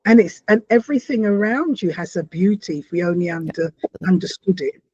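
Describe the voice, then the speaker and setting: A woman from South America hosting a podcast interview, stopping and restarting her phrases and breaking into bright, lively exclamations. Her voice has a husky edge. The sound is dry and somewhat dull. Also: on the low side, no room sound. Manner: halting, repeats words, animated exclamations. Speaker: podcast host. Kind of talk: conversational interview.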